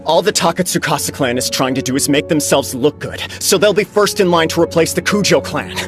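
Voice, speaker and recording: A man's voice: on the high side, brisk, music in the background, natural voice. A man voicing an earnest, dutiful young heir of a disgraced clan in a video game, speaking formally. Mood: indignant, firm. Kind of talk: dramatic video game voice acting.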